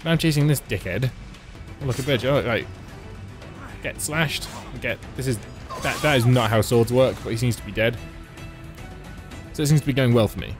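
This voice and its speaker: A man chats through a video game with a wry, mocking edge, poking fun at its plot and quests. Loud game music plays under his voice the whole time. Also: mid-range voice, normal speed, pauses often. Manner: wry, mocking, chatty asides. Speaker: let's play host. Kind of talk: sarcastic gameplay commentary.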